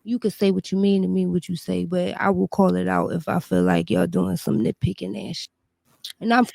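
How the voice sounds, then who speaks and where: Gravelly, defensive woman. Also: lowish voice, expressive, moderate pace, mellow, no echo. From Philadelphia. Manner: defensive, insistent. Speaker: audience member at a show.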